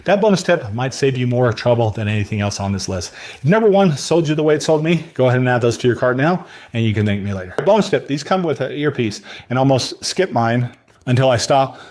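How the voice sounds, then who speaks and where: A man's voice: medium pitch, lively intonation, a little fast, darkish tone. A man delivering a scripted product review countdown in a salesy manner, speaking directly to the viewer.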